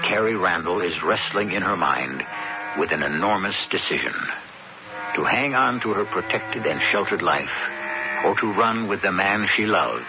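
A deep male voice narrates a radio drama over music, on a muffled old broadcast recording. He is measured and formal, building suspense with portentous phrasing. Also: background noise. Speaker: host and narrator.

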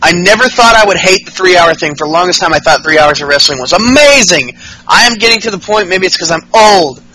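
A man's voice: high-pitched, animated, fast, pushed and bright, rough recording. A man in his late twenties co-hosting a podcast, chatting casually about wrestling, profane and rambling with many restarts. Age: 20s. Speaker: podcast co-host.

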